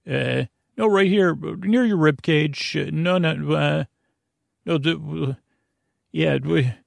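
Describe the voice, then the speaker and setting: A man playing a mild, put-upon frog father in a bedtime story, with a creaky voice and a halting, grumbling, meandering delivery. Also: fairly high pitch, dry recording, full character voice. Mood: flustered, evasive.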